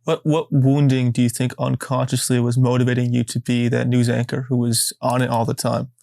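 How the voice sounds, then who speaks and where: Quick, flat-voiced male podcast host. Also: mid-range voice, vocal fry, no echo. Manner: curious, quick questions with restarts. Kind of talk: interviewing.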